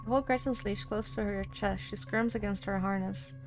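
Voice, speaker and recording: A woman doing a let's play, reading a visual novel aloud with expressive reactions. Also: medium pitch, moderate pace. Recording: music behind.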